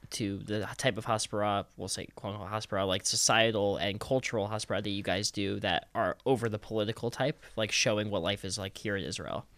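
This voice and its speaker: A man interviewer asking measured, careful questions. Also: fairly low pitch, steady tone, fairly bright tone, dry recording. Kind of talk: casual interview.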